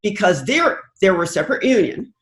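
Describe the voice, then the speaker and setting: An older American woman talks as an interview guest in a roomy, echoing space. Her pitch moves up and down in a lively way as she thoughtfully tells anecdotes, stopping now and then to find a word. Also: fairly quick. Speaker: interview guest, broadcast producer.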